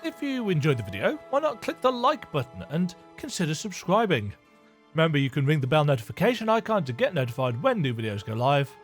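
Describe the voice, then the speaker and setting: A man hosts a let's play in a chatty, self-deprecating way. His voice is on the higher side and swings around with lots of animation. Game music plays behind his casual commentary. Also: very animated, fairly quick. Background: video game music.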